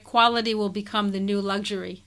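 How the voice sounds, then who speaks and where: A woman hosting a podcast interview, speaking in a measured, thoughtful way. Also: medium pitch, steady tone, fairly bright tone, clear, resonant tone. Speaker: podcast host. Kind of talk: conversational interview.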